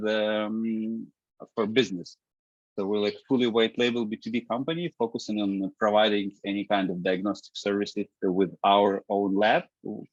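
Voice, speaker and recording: A man, a guest in an interview, talking in a halting way with many restarts and fillers. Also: on the low side, no echo, highs cut off. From Ukraine. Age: 30s. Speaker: guest, startup founder. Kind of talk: casual interview talk.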